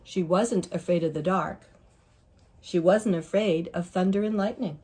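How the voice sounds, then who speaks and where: A woman reading a picture book aloud to young children in an expressive, deliberate way. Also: fairly low pitch, slight background noise. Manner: deliberate, pausing to ask listeners questions. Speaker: children's story reader.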